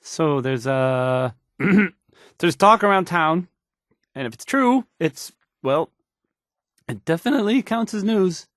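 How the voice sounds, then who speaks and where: A man's voice: a little high, lively intonation, fairly bright tone, dry recording, studio quality. A man playing an awkward, unsure young radio DJ in a video game, stumbling hesitantly through news reports. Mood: flustered. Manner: halting, stammering, hesitant, trailing off.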